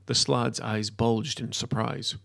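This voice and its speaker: A man's voice: medium pitch, steady tone, takes it slowly, vocal fry, fairly bright tone, no room sound, clean studio sound. A man reading scripted fiction as a storyteller, narrating the action.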